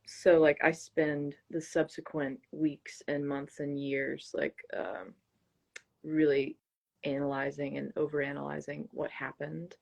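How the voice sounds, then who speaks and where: A woman guest with plenty of vocal fry, speaking in a casual, reflective way. She is thoughtful and hesitant, often pausing to search for the right word. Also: sits low, a little mellow. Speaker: interview guest, singer-songwriter. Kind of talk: casual reflective interview talk.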